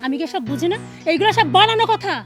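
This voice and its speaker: A spiteful daughter-in-law rattles off harsh, mocking taunts in a very high, rapid voice, as a woman plays her. She badgers without letup, with faint music under her. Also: lively intonation. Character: cruel hungry daughter-in-law, scolding and spiteful. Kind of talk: melodramatic domestic drama acting.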